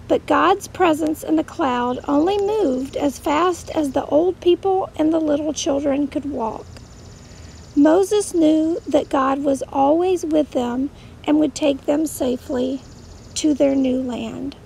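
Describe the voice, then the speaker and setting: High-voiced woman telling kids a story. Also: gravelly, darkish tone, a little hiss or hum. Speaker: children's Bible teacher.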